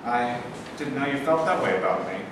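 A man's voice: mid-range voice, lively intonation, brisk, natural voice. A man in his twenties playing an earnest, hot-headed young soldier and baseball fan in a staged play reading. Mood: shy, tender. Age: 20s. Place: large bare indoor room. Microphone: far camera. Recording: echoey hall, distant camera microphone, faint noise.

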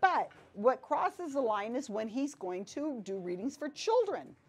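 Middle-aged woman, fairly high voice, chatty pub-talk speaker, recorded from a camera across a small room. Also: a little slow, husky. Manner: animated, conversational, frequent asides. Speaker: guest speaker. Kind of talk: casual lecture to a pub audience. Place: small wood-paneled pub back room.

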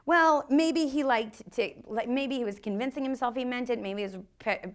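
A woman's voice: a little high, normal speed. A middle-aged American woman speaking casually and bluntly as an interview guest on stage, swearing freely and acting out quotes. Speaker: improv teacher and performer, interview guest. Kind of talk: casual, animated interview talk. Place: on stage in a small dark theater.

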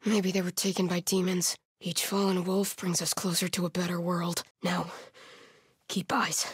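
A woman's voice: fairly low pitch, level tone, normal speed, husky, no room sound, clean studio sound. An actress playing a grim, devout female cult soldier in a video game, with a stern, zealous manner. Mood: grim, hushed. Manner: solemn, stern, swinging from hushed muttering to shouted alarms. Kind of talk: video game combat voice lines.

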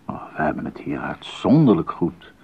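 A man's voice: very deep voice, sing-song, moderate pace, breathy edge, dull audio, heavily acted. An actor playing a devoted, enthusiastic baroque composer and family man in a radio drama, speaking earnestly and courteously. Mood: happy, effusive. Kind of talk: 1980s radio drama acting.

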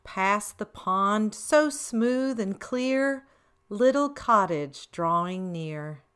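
A middle-aged woman hosting a children's read-aloud, expressive, reading picture-book lines and chatting to young viewers with questions. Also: medium pitch, extremely slow. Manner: expressive, addressing small children with questions and exclamations. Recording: roomy echo, distant microphone.

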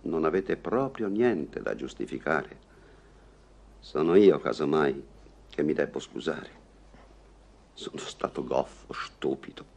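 An actor playing a gentle, self-mocking old recluse wounded in love, husky and halting, in an Italian radio play. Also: male voice, a bass voice, animated, full character voice. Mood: agitated, bitter. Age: older. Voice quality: gravelly, husky. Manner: halting, rueful, bitterly self-deprecating.

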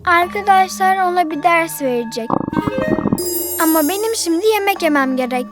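A woman's voice: very high voice, lively intonation, moderate pace. A woman voicing a cute small narrator character who explains things to young viewers in a childlike cartoon manner. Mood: explanatory. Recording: loud music under the voice.

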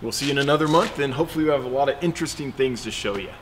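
A man in his forties talks through a construction walkthrough in a relaxed, chatty way, his voice sitting at a middle pitch. He's recorded outdoors and in an open, unfinished building. Steady ambient noise and passing cars sit clearly behind him. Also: rapid-fire. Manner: chatty, relaxed, explaining as he walks. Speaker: fish store owner and vlogger. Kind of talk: casual walkthrough vlog. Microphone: wireless mic on a handheld camera shoot. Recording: noticeable outdoor background noise.

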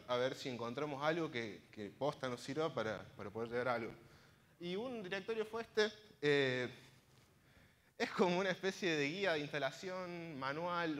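The young Argentine guy on stage at a tech meetup, telling his story casually in a lightish, higher voice that rings around the big auditorium. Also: a bit bright. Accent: Argentine Spanish. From Córdoba province, Argentina. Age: 20s. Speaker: conference speaker, software engineer. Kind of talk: informal conference presentation. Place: large auditorium stage, indoors. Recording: reverberant hall sound from a stage.

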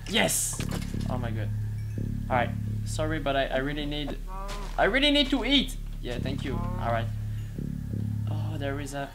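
A young man who is not a native English speaker, excitedly commentating his own gameplay from a headset in a small room, stumbling and exclaiming often. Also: a little high, measured pace, pauses often, over loud music. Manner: excitable, hesitant, frequent exclamations. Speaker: gaming channel host.

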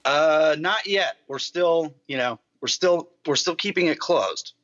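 Deadpan man on a dull video call. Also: fairly high pitch, measured pace. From Iowa. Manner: dry, deadpan jokes. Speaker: guest. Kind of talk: casual chat on video call. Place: basement video rental room. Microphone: laptop or phone on video call.

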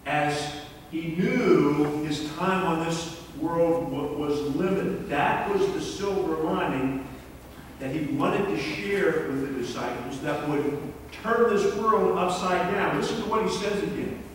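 A middle-aged male pastor delivers an earnest, measured sermon at a slow, deliberate pace. His voice sits a little high for a man. It is caught from far back in a large sanctuary, with a long, ringing reverb. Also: a little hiss or hum. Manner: earnest, measured preaching with repeated words. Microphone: far camera in the pews.

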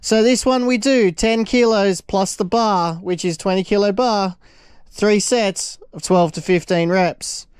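A man's voice: sits high, measured pace, clear, resonant tone, no room sound, studio quality. A man, casually narrating his gym workout in a self-deprecating, upbeat way on an action camera. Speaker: vlogger. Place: gym, indoors, and outdoors by a car. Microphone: handheld action camera. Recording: music playing behind. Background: background music.

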